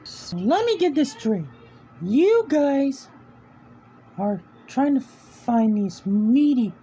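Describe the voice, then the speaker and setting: A woman's voice: fairly high pitch, warm tone, dull audio, slight background noise. A woman performing fan-made superhero script lines as an amateur voice actor.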